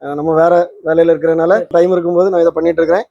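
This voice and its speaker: A man's voice: on the high side, level tone, racing, vocal fry, warm tone, muffled recording. A man hosting a repair channel, explaining things casually and often checking with tag questions. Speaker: host of a vintage radio repair channel.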